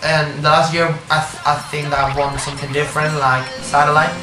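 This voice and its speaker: Spanish-accented man, halting English. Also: a little high, level tone, background noise. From Spain. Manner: halting, searching for words in English, frequent restarts. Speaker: singer, interview guest. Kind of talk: casual interview answers. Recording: echoey room with music behind.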